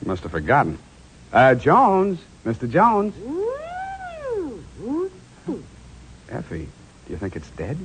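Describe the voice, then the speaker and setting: An actor playing a wry, flippant hard-boiled private detective in 1940s radio drama style. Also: male voice, medium pitch, huge pitch swings, normal speed, slight background noise. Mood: teasing. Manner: sardonic, flippant, narrating in dry mock-formal style. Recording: old radio drama recording with music.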